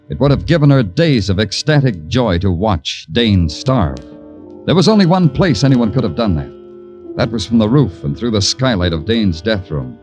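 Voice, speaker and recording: An actor playing a wry, weary hard-boiled American police detective who narrates a vintage radio crime drama in a deadpan, sardonic way. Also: male voice, mid-range voice, natural voice. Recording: vintage radio recording, music underneath.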